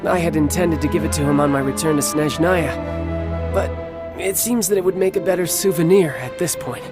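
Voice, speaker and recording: A suave young warrior acted by a man for a video game dub. He speaks in a slightly high male voice with polished, teasing ease, like a doting older brother. Music is clearly audible behind him. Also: moderate pace, close to an everyday voice. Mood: warm, playful. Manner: polished, smooth-talking, teasing. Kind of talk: English game dub acting. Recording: background music under the voice.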